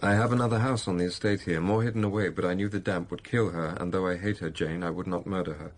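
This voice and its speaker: An actor playing a brooding, passionate middle-aged master of a country estate in a period radio drama, gravelly and intense. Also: male voice, sits low, steady tone, fast, slight background noise, close to an everyday voice. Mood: brooding, regretful. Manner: intense, confessional, pleading.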